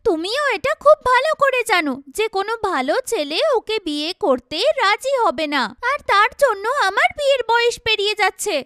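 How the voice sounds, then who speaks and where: Lady doing a snippy young cartoon woman, super high voice, vain n mocking, really close dry sound. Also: fairly bright tone, no room sound. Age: young adult. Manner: blunt, complaining, mocking. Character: sharp-tongued, vain young woman, scornful of her sister. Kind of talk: cartoon dialogue.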